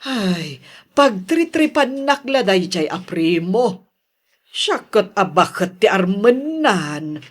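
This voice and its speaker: A woman's voice: mid-range voice, big pitch swings. A Filipino-accented actress playing a flustered, coquettish older village mother in a radio drama, exclaiming and stammering as she talks. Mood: teasing, curious. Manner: exclamatory, stammering, drawn-out delivery. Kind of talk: Ilocano radio drama acting.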